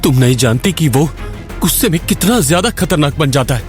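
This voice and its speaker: A man's voice: fairly high pitch, expressive, a little fast, close to an everyday voice. Man acting a tearful, begging uncle. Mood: tearful, desperate. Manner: pleading. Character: fearful, begging middle-aged uncle. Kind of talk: Hindi audio drama acting. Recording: music bed under the voice.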